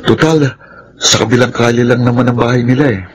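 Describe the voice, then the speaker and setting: Confident, prodding young Filipino best friend actor. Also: male voice, mid-range voice, steady tone, fairly quick, husky, close to an everyday voice. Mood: urging, determined. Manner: urging, confident. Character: pushy, encouraging young male best friend and love mentor. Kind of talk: Filipino radio drama acting.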